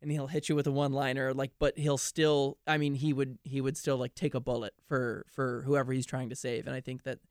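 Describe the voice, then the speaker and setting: Male guest, mid pitch with fry, smooth resonance, earnest casual chat. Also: flat delivery, a little fast, hardly any pauses, no echo, studio quality. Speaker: podcast guest, stage actor.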